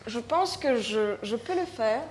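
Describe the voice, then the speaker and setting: A woman from Mauritius with a Mauritian French accent, her voice sitting a little high. She speaks as a writer at a live conference panel, reflective and halting, often restarting and repeating words. A faint hiss lies under her voice. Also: animated.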